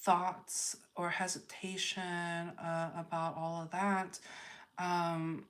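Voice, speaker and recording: Female livestream tarot host: lowish voice, unhurried, halting spiritual chat, repeats phrases while thinking aloud. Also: flat delivery, fairly bright tone. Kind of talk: spontaneous spiritual reading, chatting with live viewers.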